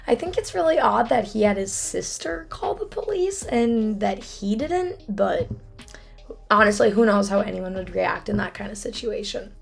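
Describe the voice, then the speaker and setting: A young woman with a hoarse, froggy voice, casually telling a true crime case as a YouTube host, with chatty, apologetic asides. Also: medium pitch.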